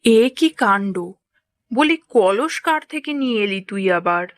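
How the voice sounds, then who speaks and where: A woman voicing an exasperated, scolding village mother in a cartoon, sharp-tongued and full of indignant questions. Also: on the high side, lively intonation, measured pace, dry recording. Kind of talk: Bengali cartoon voice acting.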